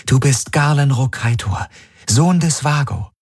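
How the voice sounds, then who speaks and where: A man's voice: mid-range voice, animated, unhurried, darkish tone, slightly rough, dry recording, clean studio sound, voice pushed up. A man voicing a tearful alien woman who urgently pleads with someone to remember her. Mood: urgent pleading.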